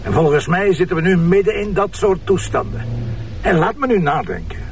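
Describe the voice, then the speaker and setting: An actor playing a gruff, impatient police commissioner in a TV crime drama, blunt and sarcastic, with a Flemish accent. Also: male voice, high voice, big pitch swings, brisk, recorded from a distance, slight background noise. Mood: brusque. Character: gruff, irritable male police commissioner with a sharp tongue. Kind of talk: naturalistic TV crime drama acting.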